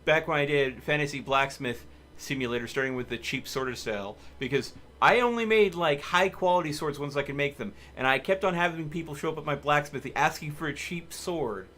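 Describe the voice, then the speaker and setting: A man's voice: a little high, brisk, fairly bright tone. A man hosting a casual game livestream, chatting and thinking aloud in a rambling way. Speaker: livestream host. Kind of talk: casual chat while live streaming a video game.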